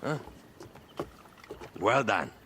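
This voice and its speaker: A man's voice: on the low side, sing-song, extremely slow, sharp tone, slight background noise, full character voice. An actor playing a wary, commanding ancient nobleman and spymaster in a video game cutscene. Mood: demanding.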